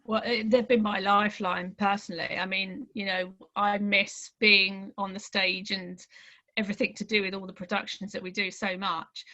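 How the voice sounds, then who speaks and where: A woman's voice: medium pitch, little pitch movement, normal speed, pushed and bright, dull audio. A middle-aged woman chatting casually and effusively as a guest. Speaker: podcast guest, amateur theatre committee member. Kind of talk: casual chat.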